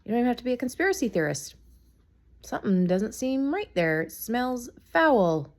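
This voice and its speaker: A woman with a raspy voice from being sick, chatting casually to camera in a rambling, opinionated gossip vlog. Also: fairly high pitch, big pitch swings, normal speed. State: sick, raspy from a cold, coughing. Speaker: vlogger.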